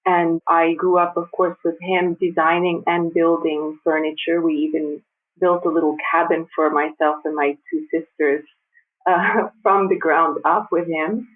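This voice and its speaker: A woman in her fifties who grew up in the United States, speaking as a guest in a conversational interview, measured and thoughtful with light laughs. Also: low-pitched, level tone. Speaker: guest designer and architect. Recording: tinny phone-like remote line.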